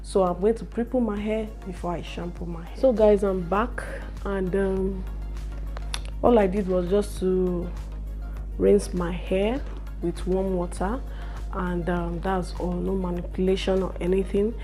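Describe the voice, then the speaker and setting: A young woman with a slightly hoarse voice, chatting slowly through a hair care vlog in a small room. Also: medium pitch, steady tone, mellow, soft background music. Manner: slow, chatty explaining with fillers. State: a little hoarse from a cold. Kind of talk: casual how-to vlog.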